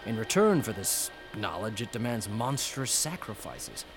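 A man's voice: lowish voice, very animated, a little slow, music in the background. An actor in a radio drama playing a chatty, eager scholarly man with a hesitant, fussy way of talking. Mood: intrigued, uneasy.

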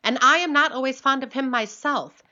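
A woman's voice: sits high, moderate pace, bright, forceful tone, muffled recording. A woman playing a motherly, fussy and coaxing small-town matchmaker in a radio play, speaking in a genteel way. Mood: concerned.

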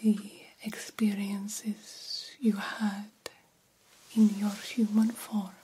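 A woman playing a vampire doctor in an ASMR roleplay, guiding a young fledgling with formal, deliberate, hypnotic instructions. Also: medium pitch, little pitch movement, mellow, husky, exaggerated voice, low-quality recording. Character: stern yet encouraging female vampire doctor mentoring a fledgling.

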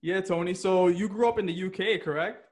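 American male interviewer, friendly chatter, voice a bit higher than average. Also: brisk. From United States. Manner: chatty, laughs often, lots of "like" fillers. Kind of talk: casual interview.

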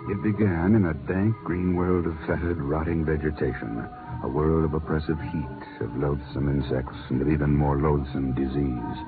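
A man announcing on a 1950s radio program, reading a script in a polished, emphatic, deliberate way. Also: extremely low pitch, fairly even intonation, music in the background. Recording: muffled 1950s radio broadcast recording.

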